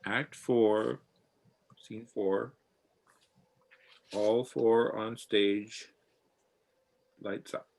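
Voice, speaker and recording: A man's voice: fairly low pitch, fairly even intonation, very slow, creaky, long pauses, a little mellow. A man announcing a scripted sci-fi radio serial and reading out its stage directions.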